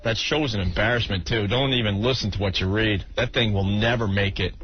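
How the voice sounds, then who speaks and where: A man's voice: on the low side, fairly even intonation, fairly quick. A man hosting an unscripted radio show and taking calls, with a mocking, aggrieved manner. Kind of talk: unscripted talk radio banter. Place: radio studio. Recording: dull, noisy old radio tape.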